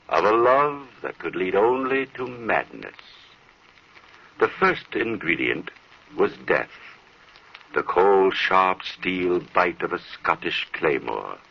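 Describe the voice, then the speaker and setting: An actor playing a grave, theatrical narrator of an old-time radio horror tale. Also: male voice, sits low, creaky, breathy edge, exaggerated voice. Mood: ominous. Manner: theatrical, portentous. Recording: muffled old radio recording.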